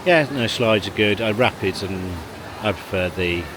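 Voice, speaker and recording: A man's voice: on the low side, moderate pace. A man chatting casually to camera in a family vlog, rambling and chuckling between remarks. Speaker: family vlogger. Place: outdoors in a car park, then at a leisure pool. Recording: handheld vlog with loud background noise.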